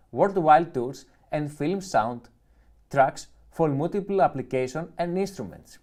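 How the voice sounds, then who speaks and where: A man from Greece with a Greek accent, chatting casually through a live-stream unboxing and reading the manual aloud with halting restarts. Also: a little high. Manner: relaxed, halting, restarts and self-corrections while reading. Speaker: music gear reviewer.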